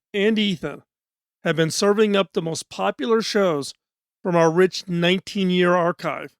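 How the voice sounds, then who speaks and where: A middle-aged man hosting a podcast in a studio. He talks in an earnest, measured, scripted way, and his voice is hoarse. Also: high voice, dry recording. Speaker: podcast host.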